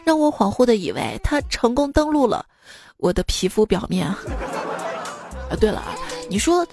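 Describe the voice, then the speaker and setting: Casting: young female comedy host, higher-pitched, teasing and theatrical, chatting with music underneath. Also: big pitch swings, normal speed, vocal fry, a little mellow, a faint crowd. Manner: playful, teasing, theatrical delivery. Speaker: host of a comedy joke show. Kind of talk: chatty humorous monologue over music. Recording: music bed behind the voice. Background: background music.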